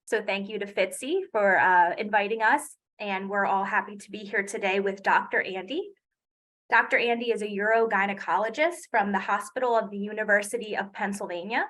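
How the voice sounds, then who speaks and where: A woman's voice: fairly high pitch, fairly bright tone, poor audio. A woman hosting a webinar on a video call, welcoming the audience and introducing a speaker, with occasional fillers. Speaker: webinar host.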